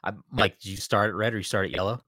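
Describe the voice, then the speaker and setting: A man chatting on a podcast, rambling eagerly with lots of fillers and quick laughs. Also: fairly low pitch, steady tone, bright, forceful tone, no room sound, studio quality. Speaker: podcast co-host. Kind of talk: casual chat.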